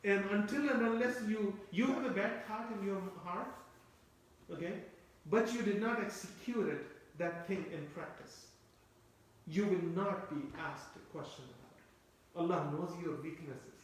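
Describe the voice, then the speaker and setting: Echoey, distant lecturing man with a high voice. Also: unhurried, slight background noise. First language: Turkish. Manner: explanatory, repeats phrases, asks listeners rhetorical questions. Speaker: Quran teacher. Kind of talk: tafsir lecture. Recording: very echoey room, voice from far off.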